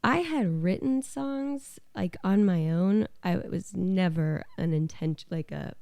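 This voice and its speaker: A woman's voice: on the low side, slow pace, creaky, darkish tone, no echo. A young American woman co-hosting a podcast, chatting casually and telling stories in a laid-back, sarcastic manner. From Alabama and the southeastern US. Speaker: podcast co-host. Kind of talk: casual conversational storytelling.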